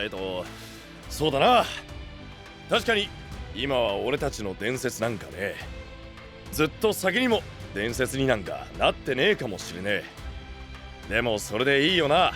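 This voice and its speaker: An actor playing a rough, hot-blooded, big-hearted young male knight leader with blunt slangy speech in an anime-style voice drama. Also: mid-range voice, very animated, normal speed, pauses often, fairly bright tone, soft background music. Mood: earnest.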